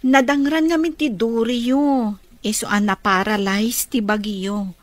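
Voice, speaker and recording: A woman's voice: fairly high pitch, fairly even intonation, a little slow, dry recording, close to an everyday voice. A woman acting in an Ilocano radio drama as a nurse who is a surprised, concerned friend. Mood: friendly.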